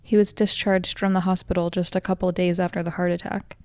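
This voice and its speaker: An American woman in her twenties reads a scripted first-person story in a measured, reflective way, as a narrator. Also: lowish voice, flat delivery, a little mellow, no echo. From Colorado, United States. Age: 20s. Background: music.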